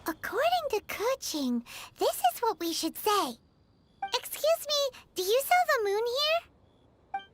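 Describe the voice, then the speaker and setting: A woman voicing a small, cheerful, childlike fairy companion with a squeaky, bubbly delivery in a video game. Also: sing-song, slow pace, noisy background, full character voice. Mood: careful, curious. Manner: bubbly, exclaiming. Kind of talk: animated video game dialogue.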